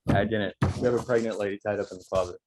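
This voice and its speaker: A man's voice: fairly low pitch, fairly even intonation, very fast, noisy background, lightly acted. A man playing an awkward, earnest expectant father in a short film table read over video call. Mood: uneasy.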